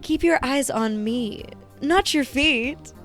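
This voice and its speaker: A woman's voice: sits high, takes it slowly, a bit bright, soft background music, voice pushed up. A woman voicing a cheerful, teasing male robot attendant in a theatrical fan fiction audio story.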